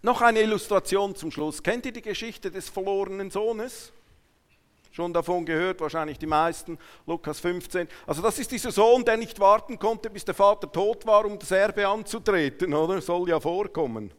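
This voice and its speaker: A man with a Swiss German accent preaching a sermon to a congregation, emphatic and swinging between hushed asides and raised declarations. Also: sits high, moderate pace. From Switzerland. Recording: long hall reverberation.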